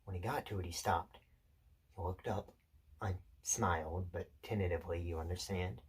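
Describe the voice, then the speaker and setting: A man acting out a theatrical monologue as an intense, unsettled loner, confiding and deliberate, pausing and correcting himself. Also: deep voice, slightly rough, exaggerated voice. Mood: hushed, suspenseful. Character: intense, unsettled male loner telling a rambling story.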